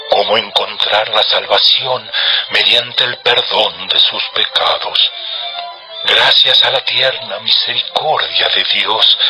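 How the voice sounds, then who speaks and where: A man's voice: on the low side, bright, forceful tone, heavily acted, low-quality recording. An actor playing a devout older father prophesying in a solemn, reverent way in a scripture audio drama. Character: devout older father prophesying over his newborn son.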